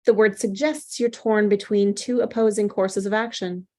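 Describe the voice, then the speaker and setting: A woman playing a composed, probing psychiatrist in a screenplay table read, speaking in a deliberate, clinical way. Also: mid-range voice, steady tone, warm tone, close to an everyday voice. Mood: calm, authoritative. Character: composed, probing female psychiatrist, coolly authoritative.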